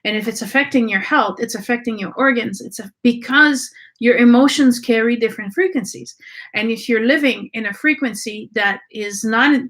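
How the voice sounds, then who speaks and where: A woman's voice: a little high, normal speed, fairly bright tone. Woman teaching, hesitant. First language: French. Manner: searching, many ums and restarts. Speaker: energy work teacher. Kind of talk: unscripted teaching talk.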